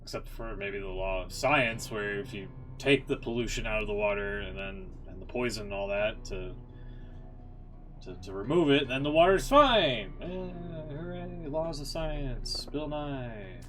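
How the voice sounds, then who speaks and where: A man's voice: mid-range voice, animated, a little slow, a bit bright, soft background music. A man casually commentating on a live game stream, giving opinionated, slangy asides. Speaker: game streamer reacting to story readings.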